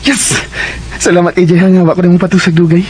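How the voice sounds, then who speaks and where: A man's voice: high-pitched, little pitch movement, fast talker, a little mellow, natural voice. A man playing an eager, bashful young student suitor in a Cebuano radio drama, with a Cebuano Filipino accent. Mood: overjoyed. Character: eager, bashful young male student courting his classmate.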